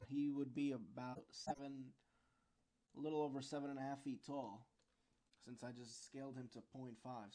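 A man giving an informal, unscripted software tutorial, explaining step by step and sometimes searching for words. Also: mid-range voice.

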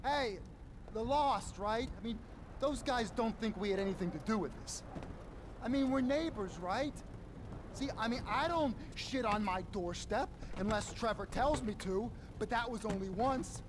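An actor playing a nervous, eager criminal sidekick in a video game, talking in a jittery, rambling, halting way. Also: male voice, high-pitched, noisy background, natural voice. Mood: nervous, babbling. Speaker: henchman. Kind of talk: video game cutscene acting.

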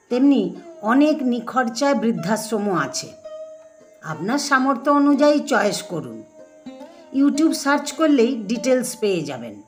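A woman narrator reading an essay of advice to parents, speaking directly and admonishingly to listeners. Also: on the high side.

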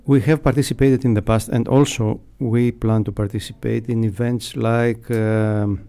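A middle-aged man with a Greek accent, answering interview questions in a halting, hesitant way. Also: medium pitch, flat delivery, gravelly, dark tone. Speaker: radio station staff member, interviewee. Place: small foam-padded radio studio. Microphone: close studio mic on boom arm, headphones.

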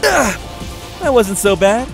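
An actor playing a goofy, cheerful adventurer in a video game fan dub, playful and theatrical. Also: male voice, high-pitched, sing-song, takes it slowly, creaky, faint music behind, heavily acted. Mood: excited. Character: goofy, cheerful man fighting dragons, game hero.

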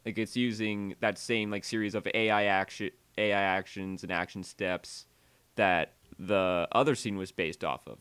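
A man narrating a software tutorial voiceover, halting and often repeating or correcting himself as he explains. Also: on the low side, clear, resonant tone, no echo.

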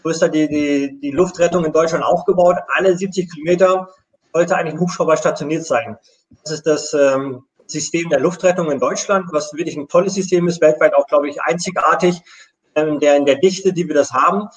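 A man's voice: fairly high pitch, fairly quick, poor audio. A middle-aged man explaining things step by step on a live video call, as an air rescue station manager. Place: room at home.

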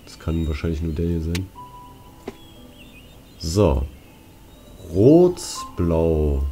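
A man's voice: low-pitched, big pitch swings, extremely slow, mellow, faint music behind. A young man giving casual gameplay commentary, slangy and muttering to himself. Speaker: let's player.

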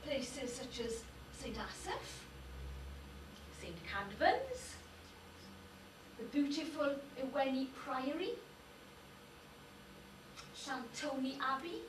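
A middle-aged woman with a Welsh accent, a native Welsh speaker, giving a measured public lecture as a university lecturer. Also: high-pitched, far from the mic, background noise. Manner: measured, lists names with careful emphasis. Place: darkened lecture hall. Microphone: far room recording. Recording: echoey hall recorded from afar.

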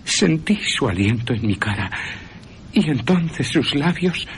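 A man's voice: medium pitch, big pitch swings, measured pace, slight background noise, voice pushed up. A man voicing a frightened young woman who recounts a nightmare in an anguished, dramatic way. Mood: anguished, fearful.

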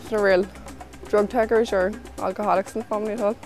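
A woman's voice: medium pitch, fairly even intonation, quick pace, darkish tone, soft background music. Offhand young woman. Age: young adult. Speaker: interviewee. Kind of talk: documentary interview.

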